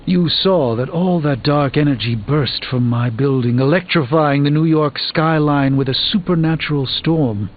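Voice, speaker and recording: A man's voice: on the high side, normal speed, hardly any pauses, close to an everyday voice. A man playing a proud middle-aged sorcerer in a video game, speaking formally and solemnly. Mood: despondent. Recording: tinny band-limited game audio with music.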